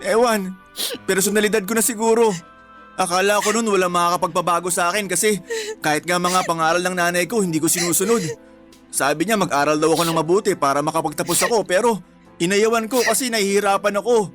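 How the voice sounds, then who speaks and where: A man's voice: sits high, steady tone, fast talker, a bit bright. A man playing a guilty, defensive young Filipino husband and father in a radio drama, pleading and blaming himself. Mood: remorseful. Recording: music bed behind the voice.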